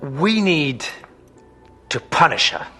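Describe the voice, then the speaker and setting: An actor playing a diplomatic, cautious master of the palace guard in a radio drama, humble and deferential. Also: male voice, a little high, big pitch swings, takes it slowly, sharp tone, breathy edge, soft background music, heavily acted.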